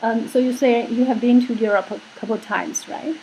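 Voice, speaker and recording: A woman's voice: on the high side, steady tone, normal speed, a little mellow, slight background noise, poor audio. A woman interviewing a student, who asks questions in an encouraging way and keeps prompting him along. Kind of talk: admissions interview.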